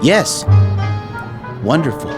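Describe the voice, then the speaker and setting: An actor playing a naive, literal-minded robot baseball pitcher in a radio drama, speaking deliberately and innocently, with music behind. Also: male voice, big pitch swings.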